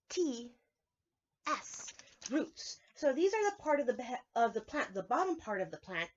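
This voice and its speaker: A woman's voice: a little high, muffled recording. A woman preschool teacher giving a lesson to young children, explaining things simply and step by step.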